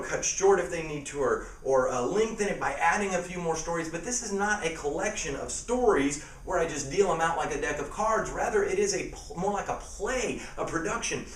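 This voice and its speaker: A man's voice: fairly high pitch, echoey room, distant mic, low-quality recording. A middle-aged man talking casually and emphatically about his school assembly show, repeating key phrases for effect. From Texas. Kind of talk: casual promotional talk to camera.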